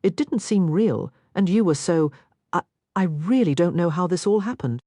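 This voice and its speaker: A woman doing a flustered young lady, babbling and tearful, lifted pitch, dry sound. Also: big pitch swings, moderate pace, dark tone, no room sound. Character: flustered, remorseful young gentlewoman.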